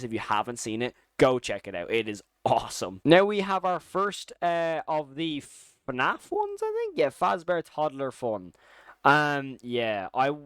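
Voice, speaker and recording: A man's voice: mid-range voice, fairly bright tone, no echo, studio quality. A man giving casual, opinionated commentary as a video host, talking in an informal, slangy and enthusiastic way. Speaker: YouTube host ranking horror series.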